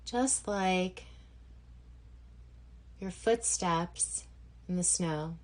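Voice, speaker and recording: A woman guiding a yoga nidra relaxation session as instructor, gentle and unhurried, leaving long pauses between phrases. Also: fairly low pitch. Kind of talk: guided meditation instruction.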